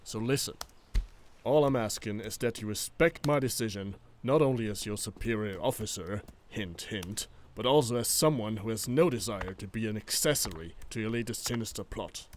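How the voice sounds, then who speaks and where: An actor playing a wry, teasing military superior officer in an animated comic dub, with a sardonic manner. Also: male voice, lowish voice, normal speed, a little hiss or hum, lightly acted. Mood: firm, amused. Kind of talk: animated fandub comic voice acting.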